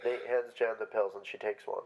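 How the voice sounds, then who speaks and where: A man's voice: fairly low pitch, steady tone, brisk, vocal fry, dull audio, crowd noise. A man reading stage directions as scripted narration for a play.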